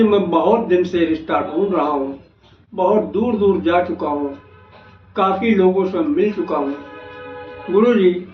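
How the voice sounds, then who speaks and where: A man voicing a worried, weary father who pleads for his child's marriage, in an astrology video. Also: sits high. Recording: echoey, noisy, dull lo-fi, distant mic.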